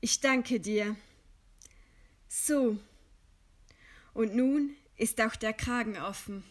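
A condemned woman writer in a radio drama. Her voice is fairly high and she speaks very slowly, weighing each phrase. She stays composed and proud, with ironic scorn. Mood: dry resignation. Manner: slow, deliberate, ironic. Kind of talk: German radio play acting.

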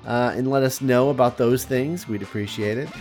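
An American man co-hosting a podcast, chatting casually in a rambling, halting way and repeating his phrases. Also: medium pitch, darkish tone, soft background music. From United States. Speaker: podcast co-host. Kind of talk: casual film podcast chat.

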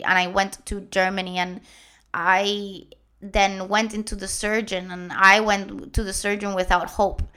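A woman in her late twenties with a Puerto Rican accent, Spanish her first language, telling her own story earnestly with many pauses and restarts. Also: medium pitch, fairly bright tone, clear, resonant tone. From Puerto Rico. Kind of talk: personal story told to followers.

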